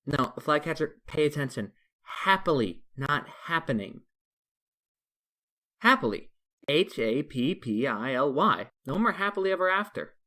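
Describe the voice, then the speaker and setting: A bossy nitpicker acted by a man with a bright, sharp voice. He is impatient and mocking, exasperated as he corrects someone. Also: a little high, big pitch swings, measured pace, heavily acted. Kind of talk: comic dub character acting.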